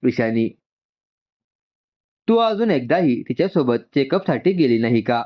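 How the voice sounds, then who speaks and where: A man's voice: mid-range voice, big pitch swings, unhurried. A man voicing a firm, demanding middle-aged mother in a told story, speaking insistently. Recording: dull, muffled lo-fi sound.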